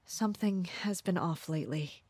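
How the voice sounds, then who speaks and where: A woman playing a guarded, distrustful commander of mercenaries in an audio drama, measured and introspective. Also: fairly low pitch, darkish tone, breathy edge, dry recording. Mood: guarded, pensive. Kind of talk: audio drama acting with inner monologue.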